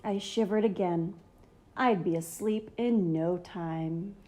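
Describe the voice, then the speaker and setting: A woman reading a children's story aloud in an enthusiastic, theatrical, expressive manner. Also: on the low side, takes it slowly. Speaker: storybook reader, channel host.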